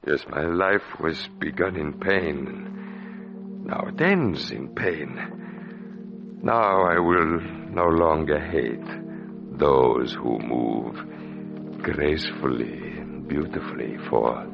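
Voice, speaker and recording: Bitter dying villain, theatrical 1940s radio, very low voice, muffled vintage sound. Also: male voice, gravelly, exaggerated voice. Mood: anguished, resigned. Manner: slow, theatrical delivery. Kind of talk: 1940s radio drama acting. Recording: muffled old radio recording, loud music.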